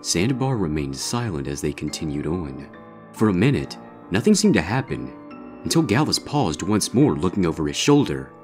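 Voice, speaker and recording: A man's voice: deep voice, big pitch swings, moderate pace, faint music behind. A man reading a scripted horror story as its narrator.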